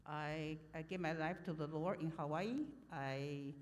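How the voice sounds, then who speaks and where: Female church interpreter, lowish voice, hesitant and filler-heavy, long hall reverb. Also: a little slow.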